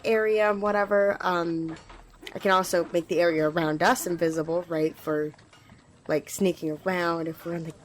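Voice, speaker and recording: Woman acting as young female squire, fantasy roleplay, slightly low voice, flustered, hesitant, rambling, eager to please, faint background noise. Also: lightly acted. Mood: hesitant, explaining. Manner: rambling, hesitant, stammering, giggly. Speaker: ASMR roleplay performer. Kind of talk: ASMR fantasy roleplay, addressing the listener.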